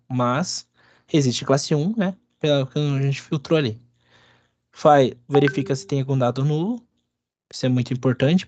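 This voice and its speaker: Stuffy-nosed man teaching, halting speech. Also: medium pitch, a little mellow, no room sound. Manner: halting, many restarts and fillers. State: stuffy, a bit of a cold. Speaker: instructor. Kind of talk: live coding lesson. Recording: dry, dull lo-fi sound.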